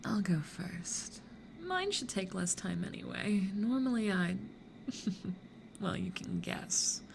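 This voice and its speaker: A woman acts out a devious succubus for an ASMR roleplay. She is possessive and teasing, slipping into whispers, with a slow, low-pitched delivery over clear background noise. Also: a little mellow, exaggerated voice.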